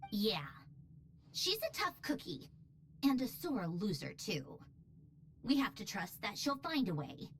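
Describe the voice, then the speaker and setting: A woman's voice: medium pitch, a little hiss or hum. An actress playing a brainy, proud, blunt young woman researcher in a video game, with an emphatic, haughty delivery. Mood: grave, frank.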